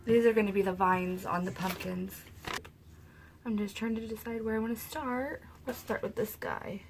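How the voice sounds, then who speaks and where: A woman hosting a soap-making video, talking softly and casually because her throat is sore and she is losing her voice. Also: mid-range voice, steady tone, normal speed, a little hiss or hum. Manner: soft-spoken, talks quietly. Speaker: soap-making channel host. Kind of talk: casual craft tutorial narration.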